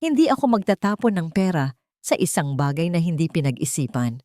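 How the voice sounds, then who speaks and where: A woman storyteller plays a wife in a family drama story. She is hurt yet firm and sharp-tongued, refusing to be fooled. The recording is very dry. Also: deep voice, sing-song, brisk, no room sound.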